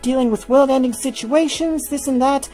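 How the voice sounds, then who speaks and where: An earnest young woman in a narrated story, as a woman reader puts her on. Her voice is high and sincere, with faint music behind it. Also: moderate pace, warm tone, lightly acted. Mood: tired. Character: Hilda, earnest young woman zoo manager.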